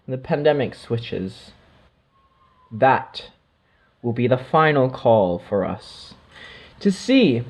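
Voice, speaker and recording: Man, channeler, unhurried, mid-pitched voice, dreamy mystical delivery, soft murmured asides. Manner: slow, drifting and mystical, with pauses and soft trailing asides. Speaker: spiritual channeler. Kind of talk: channeled spiritual monologue.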